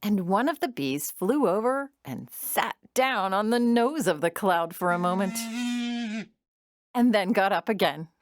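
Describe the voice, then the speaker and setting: A woman narrating a children's storybook audio drama in an expressive storytelling manner. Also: medium pitch, a little slow, lightly acted.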